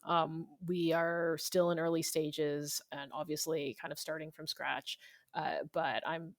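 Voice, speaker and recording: A woman's voice: sits low, level tone, measured pace, no room sound. A woman giving informal, talkative interview answers, explaining things conversationally as a guest author. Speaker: interviewed novelist.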